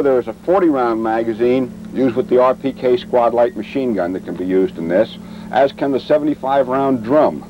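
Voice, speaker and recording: A man giving a military training lecture as an instructor, matter-of-fact and measured, speaking in short deliberate phrases. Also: mid-range voice, highs cut off. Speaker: weapons instructor and presenter. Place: outdoors at a weapons demonstration. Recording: vintage training film, noisy outdoor sound.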